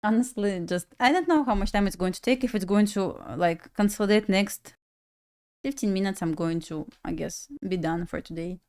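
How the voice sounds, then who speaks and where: A young woman from Belarus speaks English with a Russian accent, her voice sitting at an ordinary middle pitch. She talks casually and a little scattered, musing to herself and chuckling as she watches her trades. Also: moderate pace, darkish tone. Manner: chatty, laughs often, talks to herself. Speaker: day trader streaming live. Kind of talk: casual live-stream chat.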